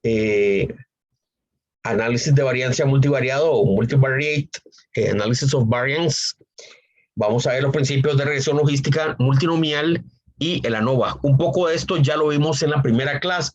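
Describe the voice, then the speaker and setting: A man teaching a university statistics class online, hoarse from a cold, speaking unhurriedly with frequent fillers and repeated phrases. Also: mid-range voice, normal speed, lo-fi sound. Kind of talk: online lecture.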